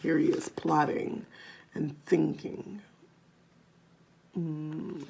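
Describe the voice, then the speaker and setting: Female children's storyteller: unhurried, creaky, expressive, with simple explanatory asides. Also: sits low, a little hiss or hum, low-quality recording. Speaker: read-aloud storyteller.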